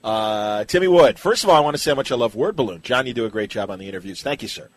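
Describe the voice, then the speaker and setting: A man's voice: mid-range voice, animated, quick pace, no echo. A man hosting a casual podcast interview, putting questions to his guest and reading out those sent in by listeners.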